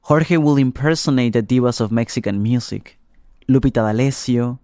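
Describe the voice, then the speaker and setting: A man narrating a scripted documentary story as a reporter, in a measured, explanatory way. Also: medium pitch, dark tone, no room sound, studio quality. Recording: faint music bed.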